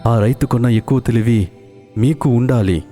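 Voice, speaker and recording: A man's voice: mid-range voice, moderate pace, warm tone, lightly acted. An actor playing an earnest man in a children's cartoon fairy tale. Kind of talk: children's cartoon story dialogue. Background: background music.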